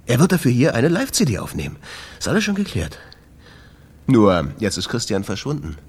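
An actor playing a troubled, exasperated jazz club owner in a radio play, talking in a confiding way. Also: male voice, medium pitch, wildly swooping pitch, moderate pace, darkish tone, husky, heavily acted. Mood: worried, confiding.

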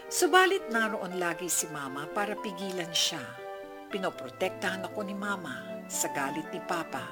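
Animated, reflective son narrating. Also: male voice, high voice, moderate pace, music in the background. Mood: wistful. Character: regretful son narrating his own past. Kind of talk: dramatic first-person narration.

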